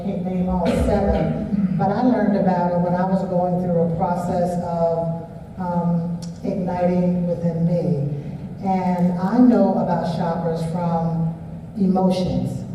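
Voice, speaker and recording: A woman attending a workshop, sharing a personal experience in a slow, reflective way. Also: fairly low pitch, flat delivery, dull audio, noisy background. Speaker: workshop participant. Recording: echoey hall from far off.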